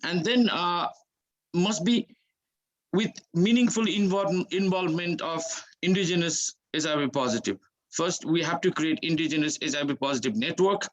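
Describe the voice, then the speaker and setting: A man from Nepal speaking English with a Nepali accent as a panelist, halting and searching for words with frequent restarts. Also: a little high, poor audio.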